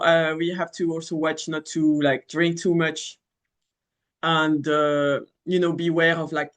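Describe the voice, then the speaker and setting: A man in his thirties from France with a French accent, talking casually about astrology in a rambling way with many fillers and restarts. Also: a little high. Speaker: astrology channel host. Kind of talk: casual spoken astrology talk.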